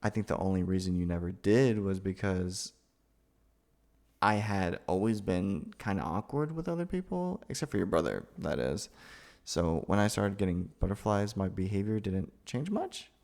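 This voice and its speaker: A man acting a nervous, awkward, lovestruck young man in a gentle roleplay, with hesitant, stammering delivery. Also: low-pitched, expressive, moderate pace. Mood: shy, confessional. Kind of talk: ASMR boyfriend roleplay acting.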